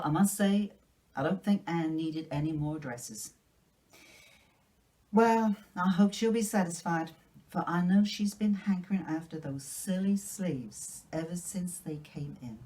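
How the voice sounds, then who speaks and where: A stern older storybook woman, practical and disapproving, read by a woman. Her voice sits low and mellow, with a measured pace and a grudging, no-nonsense delivery. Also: low-quality recording.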